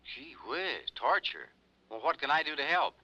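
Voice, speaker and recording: A man's voice: a little high, animated, normal speed, pushed and bright, dull audio. An American actor playing an eager, easily startled insurance company man in 1950s radio drama style. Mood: surprised, eager.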